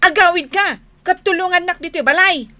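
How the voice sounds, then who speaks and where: An exasperated Ilocano wife in a radio comedy drama. Her voice is very high and piercing, and she nags emphatically about a lazy husband with an Ilocano accent. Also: fairly quick, sharp tone, no echo. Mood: exasperated scolding. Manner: nagging, emphatic, exclaiming.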